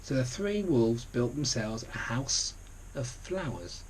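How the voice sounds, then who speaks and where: A man reading a children's storybook aloud, deliberate, with conversational asides to the listener. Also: medium pitch, lively intonation, slight background noise, rough recording. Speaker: story reader.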